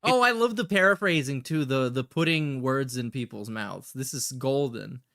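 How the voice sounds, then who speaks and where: A man's voice: medium pitch, animated, moderate pace, sharp tone. A man giving casual reaction commentary as a show host, swearing freely in a sarcastic, mocking manner. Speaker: podcast host reacting to clips.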